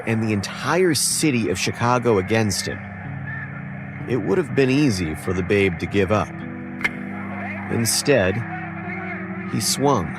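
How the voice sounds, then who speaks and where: An actor playing an earnest, determined young baseball player, narrating his own story in a conversational, deliberate way for an audio drama. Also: lowish voice, noisy background. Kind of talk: scripted first-person audio drama narration. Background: music.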